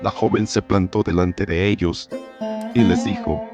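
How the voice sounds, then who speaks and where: A man reads a scripted tale as its narrator. His voice is fairly low, and his storytelling is measured and formal. Faint music plays behind him. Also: steady tone.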